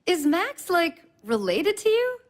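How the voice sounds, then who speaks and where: A woman's voice: very high voice, big pitch swings, slow, heavily acted. A woman acting in an audio drama as an insecure, awkward young date, nervous and probing. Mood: awkward, tentative. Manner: nervous, self-doubting, probing.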